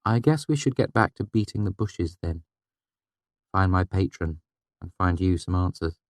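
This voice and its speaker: A man's voice: sits low, animated, moderate pace, no echo. A man voicing a tired, wry young woman traveler with a dry, teasing manner. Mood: weary.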